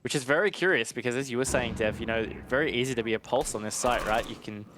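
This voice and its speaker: A man's voice: mid-range voice, quick pace, noisy background. A man giving conversational, analytical live commentary on an esports match, explaining things in a chatty way. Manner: chatty, explanatory, checks in with "right?".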